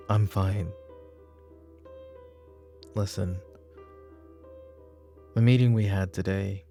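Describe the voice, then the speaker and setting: A man playing a weary, short-tempered mafia boss father in a roleplay audio drama, with a gravelly, husky voice and a commanding, curt manner. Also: low-pitched, heavily acted. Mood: businesslike. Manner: commanding, curt, slipping into hushed muttering. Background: background music.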